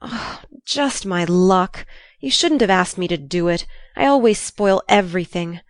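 A woman voicing a careless, brisk tomboy of a teenage girl in a storybook, plain-spoken and offhand. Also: mid-range voice, animated, no echo, clean studio sound, close to an everyday voice. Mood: dismayed. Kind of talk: storybook character reading.

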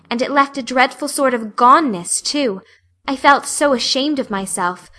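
A woman's voice: a little high, pushed and bright. A woman voicing an earnest, talkative young girl in a storybook, with a solemn, dramatic and plaintive way of speaking. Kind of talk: audiobook character acting.

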